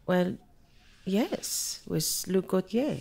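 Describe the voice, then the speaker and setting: A woman's voice: medium pitch, sing-song, extremely slow, gravelly, darkish tone, slightly rough, exaggerated voice. A woman acting a defensive, evasive young mother and wife in a full-cast audio drama. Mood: guarded.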